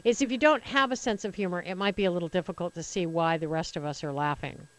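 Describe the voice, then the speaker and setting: A woman's voice: on the low side, quick pace. An American woman from Colorado hosting a call-in radio show, talking conversationally and with opinion, emphatically repeating phrases to make a point. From Colorado, United States. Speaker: radio talk show host.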